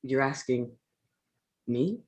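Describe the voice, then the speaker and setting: A woman playing a drained, evasive young male scientist in a period drama reading, halting and flustered. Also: a bass voice, animated, lo-fi sound, crowd noise, full character voice.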